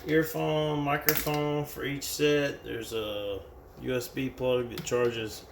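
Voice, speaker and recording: Male American product reviewer, casual and unscripted, creaky voice, picked up from a distance. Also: mid-range voice, level tone, slow. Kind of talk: casual hands-on product demonstration. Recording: roomy, distant mic with background noise.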